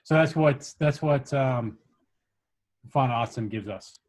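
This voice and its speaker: Male workshop instructor, mid-pitched, informal and halting, walking learners through software step by step. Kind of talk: casual screen-share coding tutorial.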